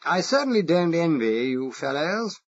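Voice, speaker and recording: A man's voice: fairly high pitch, very animated, moderate pace, pitched above the performer's own voice. Man reading: dithering posh English secretary, exaggerated upper-class accent, dull lo-fi sound. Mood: flustered posh. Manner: flustered, dithering, pompous. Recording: dull lo-fi recording.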